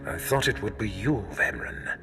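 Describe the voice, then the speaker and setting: An actor playing a haughty, scheming older Sith lord in a video game, speaking in an imperious, ominous and formal manner. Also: male voice, on the low side, sing-song, normal speed, sharp tone. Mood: smug, scheming. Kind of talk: scripted video game drama acting.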